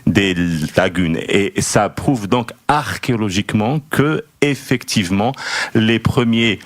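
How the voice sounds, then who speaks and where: Low-voiced guy on a radio talk show, slow, lots of pauses, spells things out. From Morocco. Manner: slow, deliberate explaining with hesitations. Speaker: radio host. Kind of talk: radio discussion.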